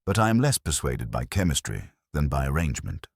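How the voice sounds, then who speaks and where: A man's voice: very deep voice, very animated, brisk, breathy edge, no room sound, exaggerated voice. A man voicing a courteous, dry police inspector who speaks formally and precisely in a mystery story. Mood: calm, inquiring.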